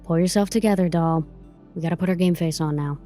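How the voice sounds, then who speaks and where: A woman's voice: fairly low pitch, fast talker, mellow, soft background music, lightly acted. A woman playing a tough, protective advocate in an audio drama, blunt, slangy and profane. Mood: brisk, bracing. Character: tough, brassy, protective woman advocate coaching her client.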